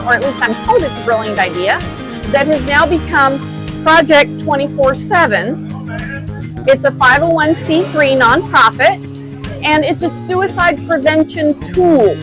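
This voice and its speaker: A woman's voice: high voice, animated, measured pace. A woman from the Czech Republic, a travel adventurer and speaker, answering interview questions in an open, earnest way. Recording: music playing underneath.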